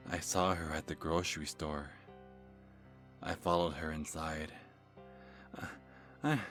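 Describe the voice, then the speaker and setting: A man playing a nervous, creepy lovestruck stalker telling a first-person horror story in an ASMR manner, halting and stammering as he corrects himself. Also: low voice. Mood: infatuated, dreamy. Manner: halting, stammering, breathy sighs and self-corrections. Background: music.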